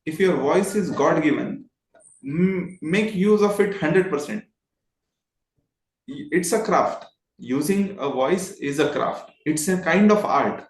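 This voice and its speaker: Male voice trainer, Indian accent, slightly high pitch, earnest and emphatic, roomy echoing recording. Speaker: voice trainer, interview guest. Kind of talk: earnest motivational talk in a discussion.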